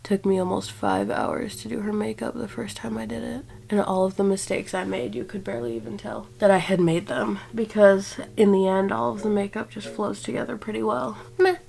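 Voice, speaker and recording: A woman's voice: medium pitch, steady tone, fairly quick, creaky, few pauses, a little mellow. A woman in her twenties with a stuffed-up cold voice, chatting casually and self-deprecatingly while doing her makeup. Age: 20s. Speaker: beauty vlogger. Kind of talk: casual makeup tutorial talk. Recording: music bed under the voice.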